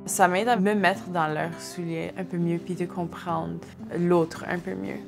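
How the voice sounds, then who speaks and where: A woman's voice: fairly low pitch, steady tone, measured pace, creaky, over music. A woman speaking enthusiastically in a documentary interview.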